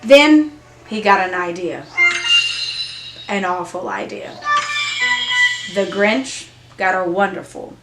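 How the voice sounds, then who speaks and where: A woman's voice: medium pitch, lively intonation. A woman reading a children's story aloud expressively, with dramatic emphasis. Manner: dramatic emphasis and drawn-out repetition. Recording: echoey room, music and effects behind. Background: music and sound effects from an app.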